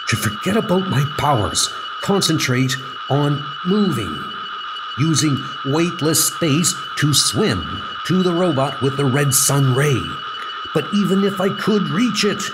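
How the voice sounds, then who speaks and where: A man's voice: on the high side, very noisy. A man playing a mighty but weakening superhero, dramatic and effortful in an audio comic book style. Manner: dramatic, effortful self-narration.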